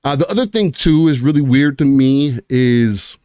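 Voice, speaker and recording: A man's voice: medium pitch, lively intonation, moderate pace, darkish tone, no echo, clean studio sound. A man hosting a casual gaming podcast, talking loosely with lots of fillers and restarts. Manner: rambling, many fillers and restarts. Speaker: podcast host.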